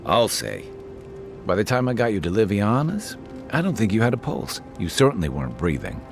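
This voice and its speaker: A man playing a gruff, wry and loyal travelling companion in a fantasy video game, talking in a conversational, dryly humorous way. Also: on the low side, wildly swooping pitch, fairly quick, faint music behind, full character voice. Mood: curious, probing.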